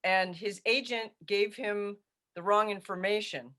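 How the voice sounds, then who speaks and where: A woman telling a personal anecdote on a video call, deliberately, quoting the people in it. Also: medium pitch, bright, forceful tone. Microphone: video call microphone.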